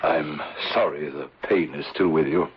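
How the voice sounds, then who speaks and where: An actor playing a courtly older insurance manager in a radio drama, speaking in a measured, formal, paternal way with a husky voice. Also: male voice, rumbling low, slight background noise. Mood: gentle, sympathetic. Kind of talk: 1970s radio drama acting. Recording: dull lo-fi old radio broadcast.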